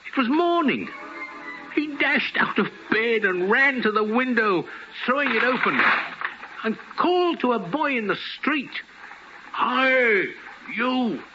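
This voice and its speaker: A man narrating as a storyteller in a theatrical 1950s radio style, with deliberate dramatic phrasing. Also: very high voice, big pitch swings, noisy background. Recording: muffled old radio recording, music behind.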